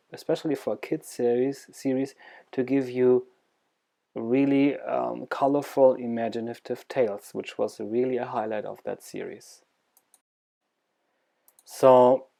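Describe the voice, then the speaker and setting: A man with a German accent presents informally in a creaky, slightly dark voice. He speaks haltingly and searches for his words, yet stays enthusiastic. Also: medium pitch.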